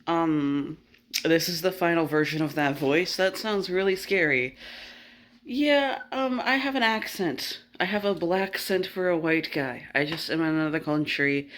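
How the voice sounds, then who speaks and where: A man's voice: a little high, unhurried, fairly bright tone. A man chatting casually to his vlog in a rambling, blunt way that slips into swearing. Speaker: vlogger. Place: bedroom at home. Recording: noisy home recording with clicks.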